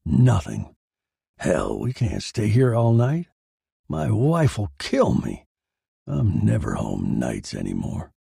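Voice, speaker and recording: Man acting a gruff, gravelly noir police detective. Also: fairly low pitch, wildly swooping pitch, slow pace, warm tone, dry recording, clean studio sound, full character voice. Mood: weary exasperation. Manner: slangy, exasperated, tough-talking. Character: hard-boiled gruff police detective, big man, cynical.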